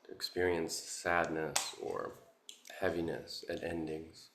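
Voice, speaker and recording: A man guiding a meditation as a Buddhist teacher, contemplative and halting, with long pauses between short phrases. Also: rumbling low, steady tone, fairly bright tone, a little hiss or hum. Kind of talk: guided meditation, Buddhist dharma talk. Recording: roomy, echoing sound.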